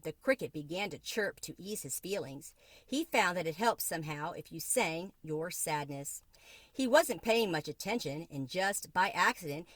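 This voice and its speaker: A woman with a fairly low voice reads a children's book aloud. The recording is close and dry, and she narrates as a storyteller. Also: a bit bright, no echo.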